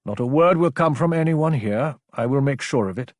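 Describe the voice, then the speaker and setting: A man voicing a mild male astrophysicist with a formal, precise, measured way of speaking. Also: medium pitch, lively intonation, no echo, studio quality, pitched above the performer's own voice, lightly acted. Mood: earnest, firm.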